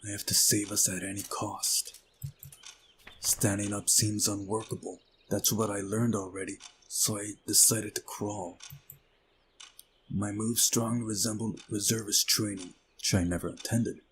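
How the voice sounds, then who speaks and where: A man's voice: on the low side, little pitch movement, measured pace, a little hiss or hum. A man reading a visual novel aloud as narration, with casual asides of his own. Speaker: let's play narrator. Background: game music.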